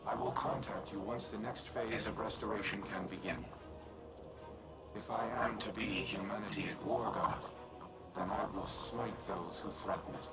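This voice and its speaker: Man voicing a low, gravelly machine war mind. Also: natural voice, poor audio. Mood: grim resolve. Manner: measured, formal, declarative. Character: godlike artificial war mind, solemn, calculating male machine. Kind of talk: scripted video game dialogue. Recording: loud music under the voice.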